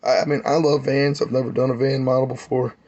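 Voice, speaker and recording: A man, hoarse and losing his voice, giving a rambling, enthusiastic hobby update on his model car builds. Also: medium pitch, flat delivery, fast, a little mellow, highs cut off. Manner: rambling, enthusiastic, many fillers.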